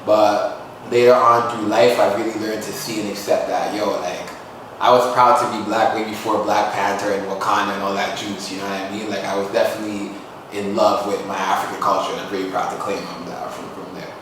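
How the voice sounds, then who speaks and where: A man's voice: lowish voice, flat delivery, brisk, background noise. A young man from Toronto, a musician and poet, talking earnestly and casually about his life as a podcast guest. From Toronto, Canada. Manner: earnest, reflective, street-casual phrasing. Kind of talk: casual interview talk. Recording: echoey room, voice far from mic.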